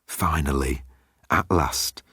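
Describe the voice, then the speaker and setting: A pompous old male alien commander, played by a man, menacing and sardonic. He croons slowly and deliberately in a low, husky register, as a villain in a sci-fi audiobook. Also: sharp tone, no room sound, clean studio sound, heavily acted. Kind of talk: audiobook character acting.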